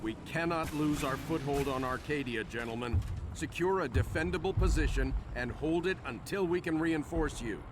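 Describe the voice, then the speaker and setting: A man's voice: on the high side, normal speed, natural voice. A man playing a formal, firm military commander giving orders in a war game. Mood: firm, urgent. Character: formal, firm male military commander briefing his troops. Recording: very loud music, vehicle noise behind.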